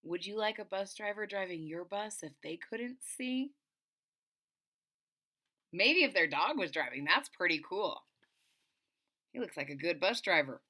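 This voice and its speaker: Female storyteller, middle-aged, for kids' picture-book read-aloud: animated pitch, bright projected tone, dramatic emphasis, explanatory asides. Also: unhurried, pauses often. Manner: expressive, explains to young listeners, dramatic emphasis. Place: small indoor room at home.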